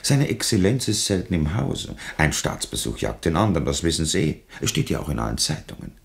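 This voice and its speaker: An actor playing a patronising, oily government official in a radio play, gravelly, with an Austrian accent and an unctuous, cajoling manner. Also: male voice, rumbling low, sing-song, fairly quick, full character voice. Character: smooth-talking, patronising male government official, insistent and oily. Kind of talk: German-language radio play dialogue.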